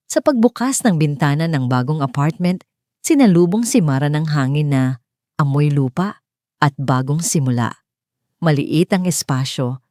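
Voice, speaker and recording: Female narrator for scripted drama. Low register, soft-edged mellow tone. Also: no echo, studio quality.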